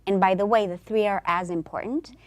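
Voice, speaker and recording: Woman from mexico on a talk show panel, emphatic, stumbles and restarts, medium pitch. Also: steady tone, a little fast. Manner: emphatic, repeats words as she restarts. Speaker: guest.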